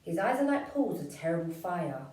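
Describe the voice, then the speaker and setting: A woman doing a low, gruff monster dad. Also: big pitch swings, moderate pace, darkish tone. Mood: ominous. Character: big gruff male monster father. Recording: echoey room, far from mic.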